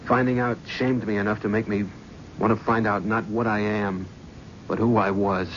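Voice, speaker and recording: An actor playing a remorseful middle-aged talent agent in a 1970s radio drama, earnest and emotional. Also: male voice, on the low side, flat delivery, normal speed, lightly acted. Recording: muffled old radio recording with hiss.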